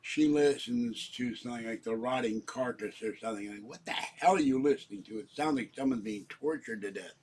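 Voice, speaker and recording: A middle-aged man from Pittsburgh talks to his vlog viewers in a meandering, chatty way. His voice is husky and sits at an average pitch. He clears his throat often and signs off warmly. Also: fairly quick, hardly any pauses. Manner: meandering, chatty, warm sign-offs to viewers. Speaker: vlogger. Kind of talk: casual rambling vlog chat.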